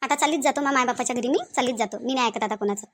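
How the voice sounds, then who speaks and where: A woman's voice: high-pitched, racing. A woman with a Varhadi Marathi accent playing a stubborn, aggrieved young daughter-in-law in a cartoon family drama, complaining insistently and repeating herself. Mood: indignant.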